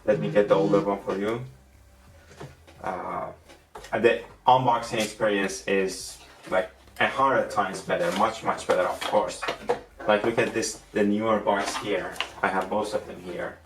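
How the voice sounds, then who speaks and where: A man with a Persian accent, a non-native English speaker, giving a casual gadget review in a halting way that often repeats words and restarts sentences. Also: fairly low pitch, fairly even intonation, noisy background. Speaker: tech reviewer. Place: room at a desk, indoors. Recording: roomy, distant mic with faint music.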